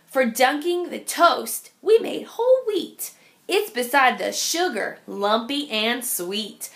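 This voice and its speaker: Female children's story reader: high pitch, creaky edges, deliberate sing-song phrasing. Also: expressive. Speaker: teacher reading aloud. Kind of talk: picture-book read-aloud for young children.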